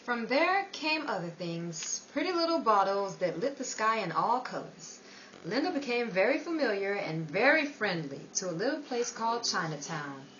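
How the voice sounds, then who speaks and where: A woman's voice: medium pitch, very animated, normal speed, a bit bright. Knowing streetwise female narrator acted by a woman, world-weary 1930s radio noir, dramatic scripted delivery, distant dull recording. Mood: wry, ominous. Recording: echoey, distant mic, dull, faint hiss.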